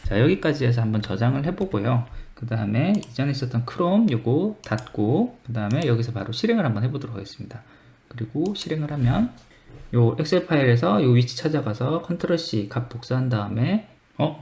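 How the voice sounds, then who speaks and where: Male tutorial presenter, medium pitch with lively swings, talking through coding steps informally as he works. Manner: informal step-by-step thinking aloud. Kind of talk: screen-recorded coding tutorial, explaining steps as they are typed.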